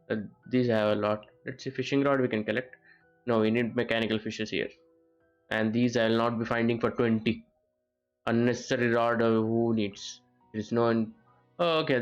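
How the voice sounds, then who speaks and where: A man's voice: mid-range voice, muffled recording. A man streams a video game, talking casually as he goes. He rambles and thinks aloud, often repeating his words, with an ordinary mid-range voice. Game music plays behind him. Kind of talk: casual live gameplay commentary. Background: game music and character voices.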